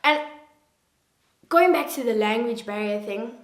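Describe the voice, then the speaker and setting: A woman in her twenties chatting casually to camera as a vlogger, self-mocking and animated, a native English speaker. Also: on the high side, measured pace, roomy sound. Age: 20s.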